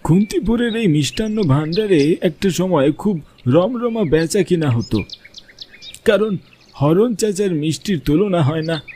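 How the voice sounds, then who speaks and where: A man voicing a kindly, pious village cleric in a cartoon story, reassuring and measured as he talks. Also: high-pitched, lively intonation, warm tone, slight background noise, voice pushed up. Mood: solemn recounting.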